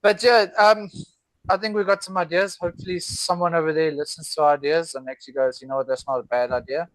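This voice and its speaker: Higher-pitched laughing podcast co-host man. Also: steady tone, a bit bright, no echo, rough recording. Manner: laughs often, halting restarts. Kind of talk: casual chat over a video call. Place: at home in South Africa.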